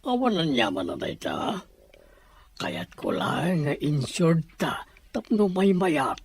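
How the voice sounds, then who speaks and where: A man playing a scheming, secretive, moneyed boss in an Ilocano audio drama, with a husky voice and a Filipino accent. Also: fairly high pitch, big pitch swings, slow pace, full character voice. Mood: evasive.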